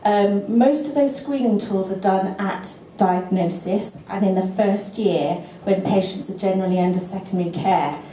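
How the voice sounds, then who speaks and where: A woman gives a talk and fields questions in a lecture room. Her tone is mellow and warm, and she picks her words carefully, with small restarts. The sound is roomy, with a faint hiss behind her. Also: mid-range voice. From England. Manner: measured, thinks aloud with fillers and restarts. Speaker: speaker at a GP teaching session. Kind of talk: presentation and answering questions.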